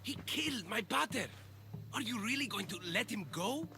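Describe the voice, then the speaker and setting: A man's voice: extremely high pitch, expressive, moderate pace, pushed and bright, slight background noise, exaggerated voice. A man acting an earnest, indignant young son of a slain father in a video game, impassioned in delivery.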